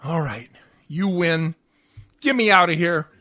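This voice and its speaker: A man acts out an exasperated husband behind bars in a vintage radio comedy. The voice is very bright and forceful, with a dry, close sound. He grumbles with sour sarcasm. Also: fairly high pitch, expressive, measured pace, no echo. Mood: resigned irritation. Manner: grumbling, sardonic. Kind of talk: 1940s radio comedy acting.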